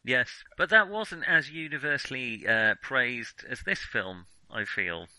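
Bright, forceful man chatting about films. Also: medium pitch, measured pace, no echo, rough recording. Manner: hesitant, hedging, self-correcting. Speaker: film podcast co-host. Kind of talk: casual film discussion.

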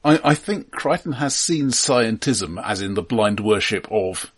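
A man's voice: lowish voice, animated, unhurried, slightly rough. A man co-hosting a film podcast in casual discussion, analytical and often stammering over how sentences start.

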